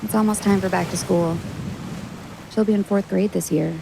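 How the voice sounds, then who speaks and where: A woman acting in an audio drama as a dry, teasing travel companion with a creaky voice and deadpan delivery. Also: medium pitch, moderate pace, lightly acted. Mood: fond teasing. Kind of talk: audio drama dialogue, naturalistic. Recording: steady sea and wave noise behind.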